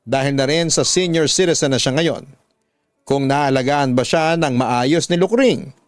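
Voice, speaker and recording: A man's voice: medium pitch, a little slow, dry recording. A man with a Filipino accent, a radio drama host reading out a listener's letter as a dramatic story and giving advice.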